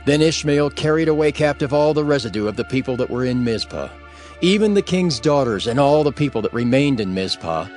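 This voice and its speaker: A man serves as narrator of an audio Bible. His mid-pitched voice is formal and solemn yet widely inflected, telling the story over faint music. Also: darkish tone. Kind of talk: scripted scripture narration.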